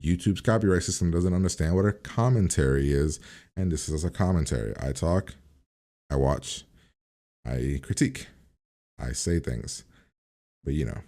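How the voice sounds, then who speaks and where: Deep-voiced, mellow man reacting casually. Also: animated, normal speed, pauses often. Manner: blunt, swears casually. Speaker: reaction video host.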